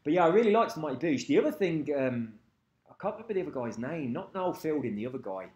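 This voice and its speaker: A man's voice: fairly high pitch, very animated, quick pace, a little mellow, muffled recording. A man rambling casually to camera, swearing freely and losing track of names. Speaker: vlogger. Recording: echoey room.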